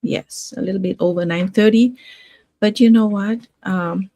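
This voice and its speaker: Casting: middle-aged woman from Fiji, mid-pitched, reflective livestream host, halting delivery with stretched key words, close dry sound. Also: steady tone, warm tone, no room sound. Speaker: livestream host, nurse and online business owner. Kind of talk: casual motivational livestream talk.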